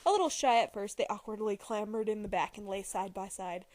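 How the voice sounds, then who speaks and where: A woman's voice: mid-range voice, brisk, a little mellow, no echo. A young woman giving an amateur dramatic reading of a novel, prone to giggling and stumbling over words. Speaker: amateur reader.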